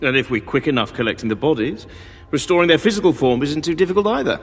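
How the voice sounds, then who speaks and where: Higher-pitched, obliging male aide. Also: animated, fast talker, faint music behind. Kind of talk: audio drama acting.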